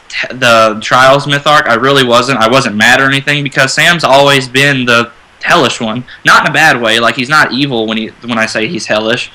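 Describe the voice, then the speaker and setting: A man's voice: mid-range voice, sharp tone, low-quality recording. A man in a casual fan discussion, explaining his view and rewording as he goes. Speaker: fan radio panelist.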